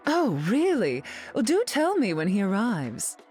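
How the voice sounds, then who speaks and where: A woman's voice: a little high, huge pitch swings, a little slow, a little mellow, soft background music. A woman playing a haughty, glamorous, sardonic society queen in a video game, imperious and arch in manner. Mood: imperious, cool. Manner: imperious, arch, drawling endearments. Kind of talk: video game character dialogue.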